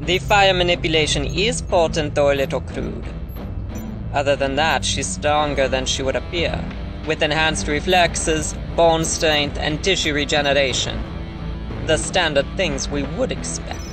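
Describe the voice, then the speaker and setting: A man's voice: a little high, a little slow, music in the background, lightly acted, low-quality recording. An actor playing a clinical, matter-of-fact male scientist in an audio drama, precise and detached. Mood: clinical, measured.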